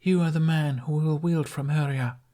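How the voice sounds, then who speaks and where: Man performing a prophetic fantasy figure, raised pitch, solemn, portentous, declaiming, audiobook storytelling. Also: fairly even intonation, moderate pace, dark tone, lightly acted. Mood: solemn, commanding. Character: solemn prophetic speaker bestowing a sacred weapon.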